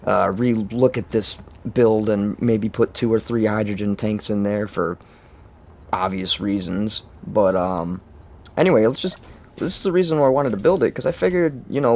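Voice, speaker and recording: Hoarse gravelly man narrating gameplay. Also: fairly low pitch, darkish tone. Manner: rambling, chuckling, many fillers. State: hoarse, lost voice. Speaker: game channel host. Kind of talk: casual gameplay walkthrough.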